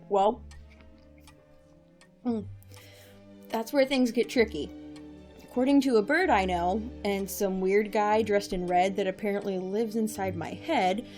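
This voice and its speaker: A woman's voice: mid-range voice, a little slow, long pauses, darkish tone, soft background music, lightly acted. An actress playing a wry, irreverent young woman, a newly made director, with casual sarcastic speech in an audio drama. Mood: frank, sardonic.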